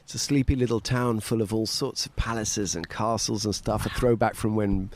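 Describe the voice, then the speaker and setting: A man's voice: on the low side, steady tone, normal speed. A middle-aged man from Portugal, a podcast guest, talking casually and rambling with frequent laughs. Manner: rambling, laughs often, playful. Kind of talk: casual conversation.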